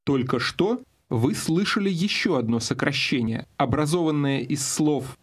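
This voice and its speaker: Male course narrator, fairly high and slightly rough voice, instructional delivery for audio lessons. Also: lively intonation, unhurried.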